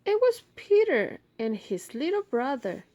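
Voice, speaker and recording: A woman's voice: sits high, sing-song, unhurried, warm tone, dull audio. A woman hosting a children's read-aloud, narrating expressively and speaking to young listeners. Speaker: storybook reader, video host.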